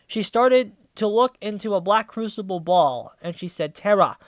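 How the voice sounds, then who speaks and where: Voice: mid-range voice, animated, moderate pace, no room sound. A person reading a story aloud, apparently in their twenties, breaking off into sarcastic, sweary casual commentary. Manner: sarcastic, profane asides. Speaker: reviewer reading fan fiction aloud. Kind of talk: dramatic read-aloud with mocking casual commentary.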